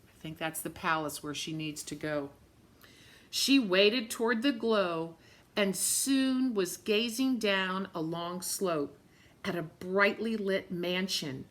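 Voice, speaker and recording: Female storyteller for a kids' read-aloud: medium pitch, bright voice, expressive delivery, talks directly to the children. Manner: expressive, dramatic, addresses young listeners directly. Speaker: story-time reader for children.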